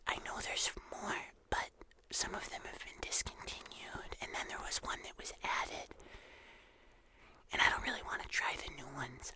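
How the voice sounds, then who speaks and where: An ASMR host chatting casually to viewers in a soft, unhurried whisper with drawn-out phrases. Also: mid-range voice. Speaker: host of an ASMR video channel.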